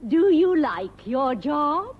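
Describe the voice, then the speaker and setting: A woman presenting a television English lesson, speaking slowly and patiently with very clear enunciation. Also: sits high, very animated. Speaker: presenter and teacher.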